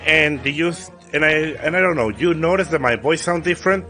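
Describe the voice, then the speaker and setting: A man livestreaming a video game in a casual, halting way, his voice raspy and a little stuffed up from recent voice trouble. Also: a little high, bright, forceful tone, soft background music. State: recovering from a stuffy nose and voice trouble. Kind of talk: casual livestream let's play, reading game dialogue aloud.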